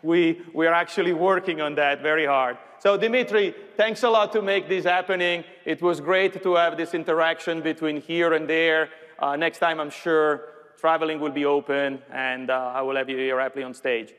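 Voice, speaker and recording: Guy doing a tech keynote demo, slightly high voice, big echoey hall. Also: steady tone, moderate pace, a bit bright, smooth. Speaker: software executive presenting a product demo. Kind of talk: live keynote presentation. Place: large conference stage with audience. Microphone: lapel mic. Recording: reverberant large hall sound.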